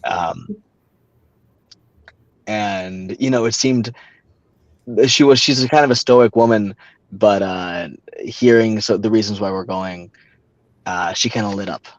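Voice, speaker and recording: A young man with a very low voice tells a story haltingly, stopping and restarting often. The sound is dry and dull, as if coming through a remote call, with no room echo. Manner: halting, many fillers and restarts. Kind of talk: casual storytelling.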